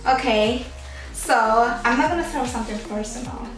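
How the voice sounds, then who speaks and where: A woman's voice: fairly high pitch, brisk, faint music behind. Young Angolan-born woman, roomy distant sound. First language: Portuguese. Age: young adult. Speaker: host quizzing her partner. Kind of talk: casual quiz chat. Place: small indoor room with gray walls, seated.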